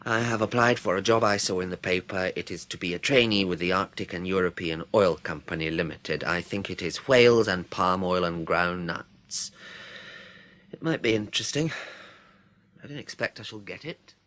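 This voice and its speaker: A man's voice: low-pitched, normal speed, fairly bright tone. An actor playing an earnest, curious teenage boy with an English accent in a radio drama, speaking precisely with some hesitancy. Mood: solemn reciting. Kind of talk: British radio drama acting.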